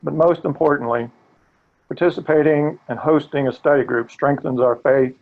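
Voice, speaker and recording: An older man with a gravelly voice, earnestly presenting a live training webinar. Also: mid-range voice, muffled recording. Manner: earnest, steady, occasional restarts. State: gravelly voice today.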